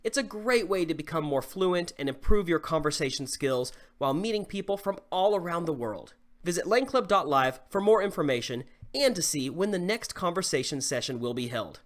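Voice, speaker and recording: A man in his thirties from the southern US with a slight Southern accent, teaching English clearly and deliberately to camera from a small home studio. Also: fairly high pitch, lively intonation. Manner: clear, deliberate teaching delivery with modeled examples. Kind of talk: scripted lesson to camera.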